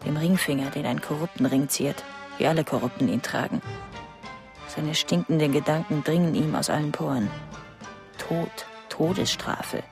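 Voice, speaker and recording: An anxious wife thinks aloud in short, contemptuous phrases in a radio play. Her voice sits low for a woman, with music clearly heard behind it. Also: normal speed. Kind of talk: clipped inner monologue in radio play.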